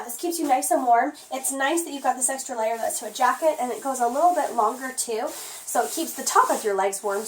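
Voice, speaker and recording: A hoarse woman who has nearly lost her voice, chatting casually through a product review with lots of "um"s. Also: sits high, distant mic, slight background noise, poor audio. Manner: chatty, rambling, frequent "um".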